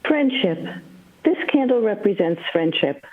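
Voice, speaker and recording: A woman's voice: medium pitch, very animated, unhurried. A woman reading a formal, scripted commemorative passage. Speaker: ceremony reader. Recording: dry, tinny phone-like sound.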